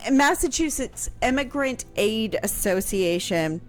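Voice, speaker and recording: A woman from Kansas explaining history on a radio talk show, speaking carefully and pausing often on fillers. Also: fairly high pitch, lively intonation, faint music behind. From Kansas, USA. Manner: slow, careful, halting with fillers.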